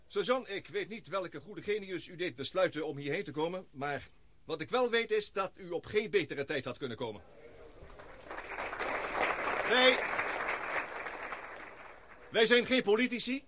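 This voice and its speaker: An actor playing a formal male expedition leader and scientist who speaks in measured, speechmaking tones in a radio play. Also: sits high, animated, pushed and bright, some chatter behind, slight background noise, exaggerated voice.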